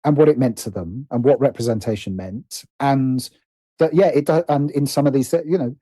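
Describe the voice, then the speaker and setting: A man with a soft, mellow voice talks as a guest in a relaxed interview. He works through his thoughts animatedly, stopping and restarting phrases. The sound is dry, with little room around it. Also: mid-range voice, no echo. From Britain. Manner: animated, halting, restarts and repeats phrases as he thinks aloud. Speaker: interview guest, writer and producer. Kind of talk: casual conversational interview.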